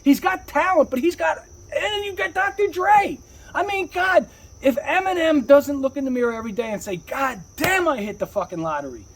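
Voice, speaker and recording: A man with a husky voice telling his story casually, in emphatic, repetitive, slangy talk with frequent swearing. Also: very high voice, expressive, normal speed, slight background noise. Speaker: rapper, interview guest. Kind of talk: casual rambling personal storytelling.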